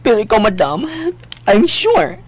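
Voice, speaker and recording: A woman playing an excitable, gossipy, campy confidant in a radio drama, with a Visayan Philippine accent and exaggerated, drawn-out delivery. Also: medium pitch, husky, exaggerated voice. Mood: sly teasing. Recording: slight background noise.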